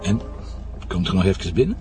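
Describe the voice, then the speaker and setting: An actor with a Flemish accent playing a coaxing, flirtatious detective in a radio drama. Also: male voice, low voice, big pitch swings, fairly quick, loud music behind the voice. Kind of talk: naturalistic radio drama acting.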